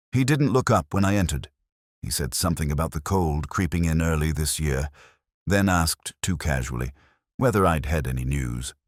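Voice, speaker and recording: Very deep, husky male story narrator. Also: dry recording, clean studio sound. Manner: measured, literary, reflective. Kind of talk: first-person scripted detective story narration.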